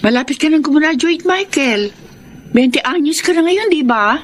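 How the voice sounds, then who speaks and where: A woman's voice: sits high, lively intonation, normal speed. A woman with a Filipino accent playing a trusting, easily persuaded widowed mother in a radio drama, on slightly noisy audio. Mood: pleased, reassuring.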